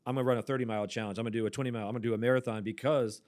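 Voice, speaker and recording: A man's voice: mid-range voice, steady tone, darkish tone. Chatty man in his forties. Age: 40s. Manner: chatty, quick asides, laughs often. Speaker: podcast host, pastor. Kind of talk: casual chat.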